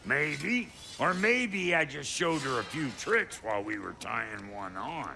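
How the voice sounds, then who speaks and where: A man playing a crude, cocky dwarf craftsman in a video game, with a gravelly voice and brash, folksy manner. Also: medium pitch, expressive, a little slow, pushed and bright, full character voice. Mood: cagey, cocky. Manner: brash, colloquial. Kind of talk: animated video game acting.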